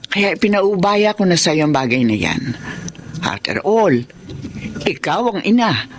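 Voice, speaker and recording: An actress playing a doting, protective grandmother in a Filipino radio drama, speaking in an expressive, reassuring, motherly way. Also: fairly low pitch, measured pace, slight background noise. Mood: earnest. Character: doting, protective grandmother keeping a family secret.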